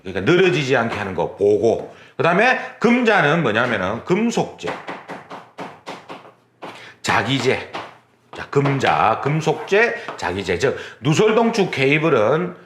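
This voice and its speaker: Male exam-prep lecturer, very lively pitch swings, slightly high voice, roomy lecture recording. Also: slight background noise. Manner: animated, repeats key terms, demonstrative.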